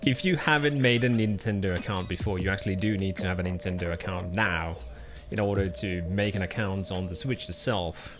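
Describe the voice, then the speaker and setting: A man's voice: sits low, fairly quick, hardly any pauses. A man streaming a video game, chatting casually with viewers and rambling with many ums and uhs. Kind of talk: casual live-stream commentary while gaming. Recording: game music playing behind. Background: game music.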